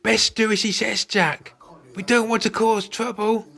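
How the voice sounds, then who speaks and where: Man playing a slow-talking, amiable old man. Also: high-pitched, little pitch movement, gravelly, pushed and bright, exaggerated voice. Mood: placating. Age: older. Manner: mild, hesitant, chummy. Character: amiable, placid old male care-home resident, joking peacemaker. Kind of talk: amateur radio play acting.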